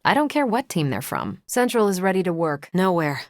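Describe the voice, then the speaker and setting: Casting: confident American video game superheroine, ex-military pilot, lowish voice, dry wit, close dry sound. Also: female voice, sing-song, brisk, no room sound. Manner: dry, wisecracking, self-assured. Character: confident, wry superheroine, ex-Air Force pilot, woman.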